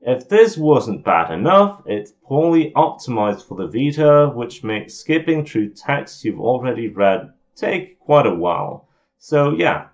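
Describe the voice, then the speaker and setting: A man giving an informal, scripted game review voice-over in an opinionated, grumbling, self-deprecating way. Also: mid-range voice. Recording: muffled lo-fi home voice recording.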